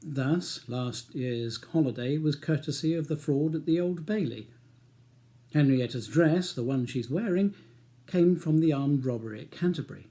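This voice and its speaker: A man's voice: a little high, normal speed, mellow. A man with a British accent reading his own novel as a scripted audiobook narration.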